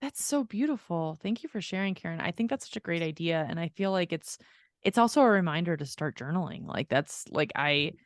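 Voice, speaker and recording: A woman's voice: fairly low pitch, lively intonation, brisk, no room sound, studio quality. A woman co-hosting a podcast in casual chat with a friend, gushing and affirming, rambling in a giggly, self-deprecating way. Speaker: podcast co-host.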